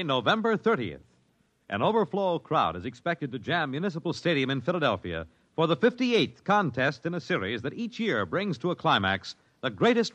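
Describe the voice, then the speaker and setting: A man's voice: fairly high pitch, sharp tone. An American man announcing in dramatic 1950s radio style, emphatic and declamatory.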